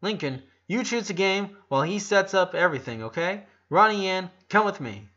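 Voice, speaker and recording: A man voicing a bossy but friendly young sister who gives brisk directions. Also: sits high, expressive, sharp tone, dull audio, voice pushed up.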